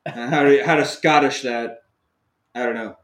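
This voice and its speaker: A man's voice: mid-range voice, steady tone, moderate pace, fairly bright tone. A man hosting a podcast, recapping a movie in a casual, joking, profane way. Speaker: podcast host. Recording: roomy echoey room.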